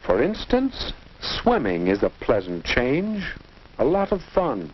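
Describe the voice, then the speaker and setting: A man's voice: mid-range voice, huge pitch swings, slow pace. A man playing a guiding, didactic American narrator in a 1950 instructional film, on a dull, noisy old soundtrack. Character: guiding, fatherly narrator advising a teenage boy.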